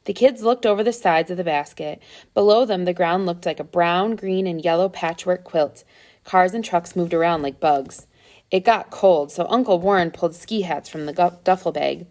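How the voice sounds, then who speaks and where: A woman's voice: on the low side, normal speed, darkish tone. A woman reading a children's adventure story aloud as scripted narration. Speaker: storybook reader.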